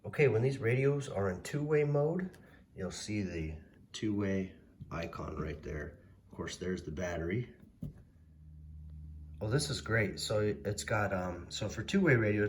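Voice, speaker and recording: A man giving a casual, plainspoken hands-on product demonstration in a folksy way. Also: lowish voice. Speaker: product reviewer. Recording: loud background noise, vehicle ambience.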